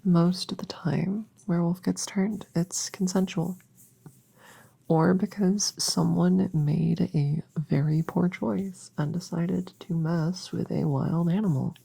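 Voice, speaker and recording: A hushed, breathy witch vet, played by a woman, talks slowly and softly in an ASMR audio roleplay. Her low voice creaks as she gently reassures and teases, chatty and a little goofy, often slipping toward a whisper. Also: steady tone, heavily acted, poor audio. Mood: casual, explaining. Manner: gentle, chatty, halting, teasing.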